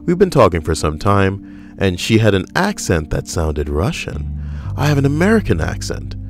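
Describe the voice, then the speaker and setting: A man co-hosting a casual podcast, reading out strangers' stories with wry, deadpan, rambling asides. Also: low-pitched, moderate pace, faint music behind. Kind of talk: casual joking commentary.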